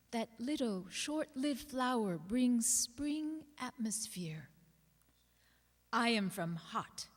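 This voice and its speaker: Slow, higher-pitched woman reading in echoing hall. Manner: slow, measured delivery with deliberate pauses. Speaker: actor reading others' writing aloud. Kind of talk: dramatic reading of personal poems. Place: reverberant hall, live public reading. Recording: long hall reverb.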